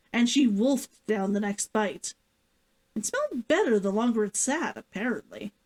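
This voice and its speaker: A woman narrating a fiction story aloud as the author hosting a read-along. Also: a little high.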